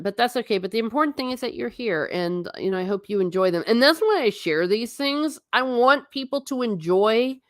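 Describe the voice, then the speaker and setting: A woman's voice: fairly high pitch, no echo, studio quality. A middle-aged woman chatting on a stream about travel photos, rambling and self-deprecating. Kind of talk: casual stream commentary.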